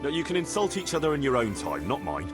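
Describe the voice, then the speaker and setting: An actor playing a practical, firm and plain-spoken medieval bailiff in a video game. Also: male voice, mid-range voice, wildly swooping pitch, quick pace, music in the background. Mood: firm, stern. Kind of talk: video game cutscene acting.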